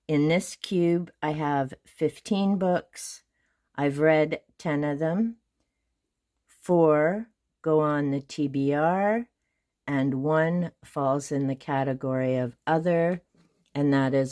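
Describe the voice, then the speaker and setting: Calm low-voiced woman touring bookshelves. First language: Hungarian. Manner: calm, methodical counting and listing. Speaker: booktube host. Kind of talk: casual shelf tour.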